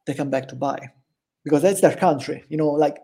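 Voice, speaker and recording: A man's voice: a little high, fairly quick, husky. Italian guy on a podcast, lightish voice, chatty, laughs a lot. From Italy. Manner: chatty, animated storyteller who laughs often. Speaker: guest. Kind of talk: casual interview chat.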